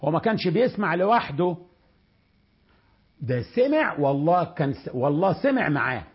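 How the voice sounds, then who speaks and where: An Egyptian man giving a Quran commentary lesson, switching between lively colloquial explanation and slow recitation. His voice is very husky and sits fairly high, with lots of movement in pitch. The recording is dull and lo-fi. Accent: Egyptian Arabic. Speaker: religious teacher.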